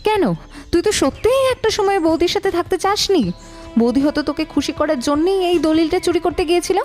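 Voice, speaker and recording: A woman playing an irritated, sharp-tongued married woman in an audio detective drama, curt, scornful and suspicious. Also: very high-pitched, a little fast, soft background music. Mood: accusing, scornful.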